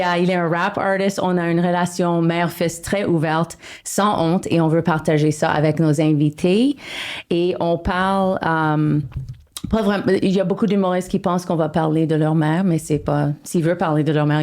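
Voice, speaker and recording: Low-voiced host mixing English into French. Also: female voice, steady tone, normal speed, darkish tone. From Ottawa, Canada. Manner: mixes English phrases into French. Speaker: podcast host. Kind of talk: casual chat.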